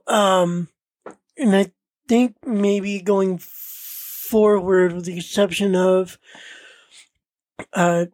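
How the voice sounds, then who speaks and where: Halting, slow-paced woman podcast host. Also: medium pitch, dry recording. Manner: halting, hesitant, pauses often mid-sentence. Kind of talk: casual unscripted podcast talk.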